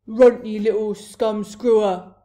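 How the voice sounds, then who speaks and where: A woman voicing a huge, gruesome male giant in a storybook, a booming brute who bellows in broken grammar and nonsense insults. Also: steady tone, takes it slowly, dark tone, close to an everyday voice. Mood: bullying, furious. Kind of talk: storybook read-aloud character voice.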